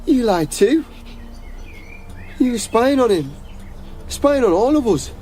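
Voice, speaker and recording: An actor playing a distraught, betrayed teenage son in a naturalistic radio drama, halting and emotionally raw. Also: male voice, very high-pitched, very animated, mellow, a little hiss or hum, exaggerated voice. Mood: accusing, bitter.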